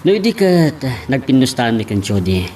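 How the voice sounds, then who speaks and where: A man's voice: mid-range voice, big pitch swings, fairly quick, darkish tone, a little hiss or hum, natural voice. A man with an Ilocano accent acting a confident rival in love in a romance audio drama.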